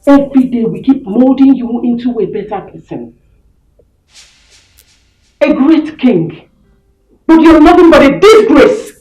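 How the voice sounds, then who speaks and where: A woman's voice: high-pitched, expressive, unhurried, long pauses, darkish tone. An actress with a Nigerian accent playing a stern, middle-aged royal mother who scolds her wayward son in a film melodrama. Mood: bitter scorn. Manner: scolding, dramatic. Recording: echoey room, music behind.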